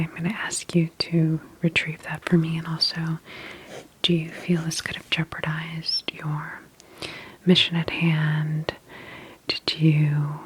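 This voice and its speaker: A woman speaks in a soft, breathy whisper at a very slow pace. Her voice sits slightly low, and she is gentle and reassuring as she plays a friendly doctor in an ASMR roleplay. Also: low-quality recording. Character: friendly sci-fi medical examiner, adult woman. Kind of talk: ASMR personal-attention roleplay.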